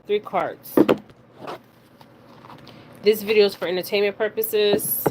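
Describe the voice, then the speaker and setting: A woman hosting a casual spiritual reading, speaking haltingly, stumbling over words and often checking in with her listeners. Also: mid-range voice, steady tone. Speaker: spiritual reader and card reader hosting her own video. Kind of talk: casual psychic reading, reading news details aloud. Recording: clearly noisy recording with background ambience.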